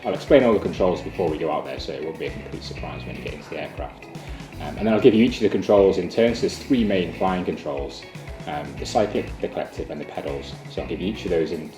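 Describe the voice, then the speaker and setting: A man with a deep voice talks quickly as a flying instructor, explaining in an informal interview. The room is echoey and music plays clearly behind him.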